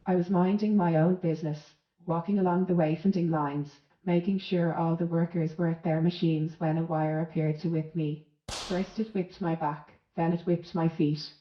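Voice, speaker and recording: Aggrieved middle manager played by a woman, very low voice, self-important melodrama, echoey radio play monologue. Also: fairly even intonation, moderate pace, natural voice. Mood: aggrieved, dramatic. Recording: echoey, dull muffled sound.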